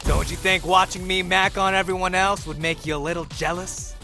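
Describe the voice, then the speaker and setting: Brash flirty incubus, game character, high voice, gravelly edge, cocky, slangy, party-loving. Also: male voice, fairly even intonation, a little fast, bright, forceful tone, soft background music. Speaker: video game romance character. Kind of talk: scripted game dialogue, slangy.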